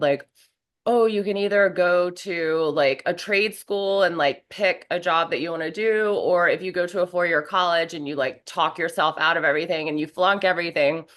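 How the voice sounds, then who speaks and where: A woman from North Carolina, hoarse from a cold, chatting as a guest in an interview with lots of fillers. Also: on the low side, moderate pace, a bit bright. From North Carolina, USA. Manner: chatty, rambling, many fillers. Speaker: guest, professional wrestler. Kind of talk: casual interview conversation.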